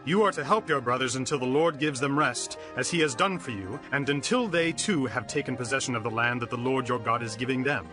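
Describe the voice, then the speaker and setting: An actor playing a firm, purposeful Israelite leader in a dramatized scripture reading, declamatory and authoritative. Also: male voice, mid-range voice, a little fast, hardly any pauses, lightly acted. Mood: commanding. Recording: music under the voice.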